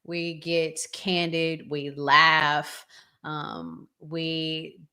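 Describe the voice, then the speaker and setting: American woman, low-pitched, very slow pace, casual livestream host, conversational. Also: flat delivery, pushed and bright, clear, resonant tone. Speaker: podcast host.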